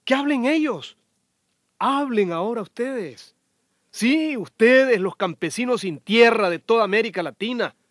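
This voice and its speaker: An actor playing a stern, solemn judge presiding over a radio drama trial, commanding and deliberate. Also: male voice, very high-pitched, lively intonation, dry recording, studio quality.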